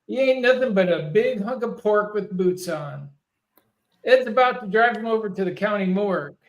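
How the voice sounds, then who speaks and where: A performer playing a gruff, folksy small-town male sheriff with a colloquial, sarcastic manner at an amateur table read. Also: medium pitch, lively intonation, moderate pace, close to an everyday voice, low-quality recording. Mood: folksy, dismissive.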